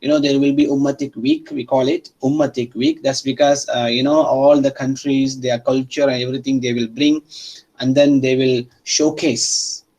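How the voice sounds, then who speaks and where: A man's voice: mid-range voice, little pitch movement, moderate pace, a little mellow, poor audio. A male university lecturer who speaks English as a second language, teaching interactively with repeated phrases and frequent checking questions to students. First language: not Arabic or English.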